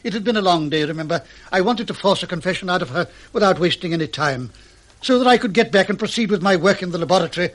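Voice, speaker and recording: A man's voice: sits high, animated, fast talker, a bit bright. A man playing a pompous, self-assured doctor detective in an old-fashioned radio mystery, speaking in precise, formal, pedantic phrases. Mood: smug.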